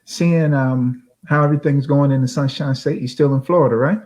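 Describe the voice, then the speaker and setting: A man's voice: medium pitch, fairly even intonation, fairly quick, darkish tone. A man hosting a casual podcast interview, greeting and questioning his guest politely. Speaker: host.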